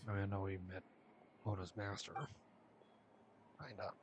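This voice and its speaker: A man's voice: on the low side, flat delivery, normal speed, slight background noise. A man casually commentating on his gameplay and reading dialogue aloud as a game streamer. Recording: game music behind the voice.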